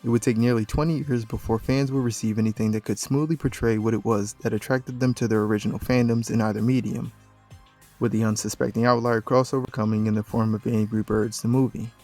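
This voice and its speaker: A man's voice: lowish voice, fairly even intonation, brisk, darkish tone. A man reading scripted video essay narration in the manner of a documentary. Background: background music.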